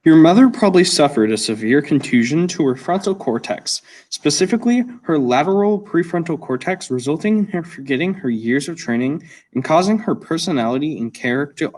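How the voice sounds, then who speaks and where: Haughty talking mole rat played by a student, formal and condescending, lively intonation, slightly distant recording. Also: medium pitch, fairly quick, few pauses, lightly acted. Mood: lecturing. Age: teenager. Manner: pompous, formal, precise. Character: haughty talking naked mole rat, know-it-all lab creature. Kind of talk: school radio play acting.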